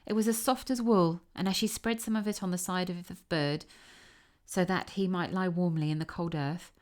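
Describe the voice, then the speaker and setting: A woman with a slightly low voice narrates a storybook tale. She reads aloud with care and expression, as a storyteller does. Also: steady tone, normal speed.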